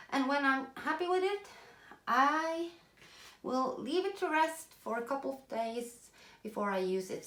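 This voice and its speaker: A woman with a Norwegian accent explaining a craft project in casual chat, chatty and self-deprecating, pausing to search for English words. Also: high voice, echoey room. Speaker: craft video host. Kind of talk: casual tutorial talk while working.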